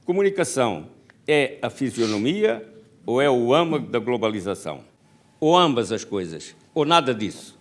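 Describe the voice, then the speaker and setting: An older man with a Brazilian Portuguese accent, co-moderating a panel with a deliberate, rhetorical speaking style. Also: mid-range voice, very animated. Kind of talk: formal speech and questioning.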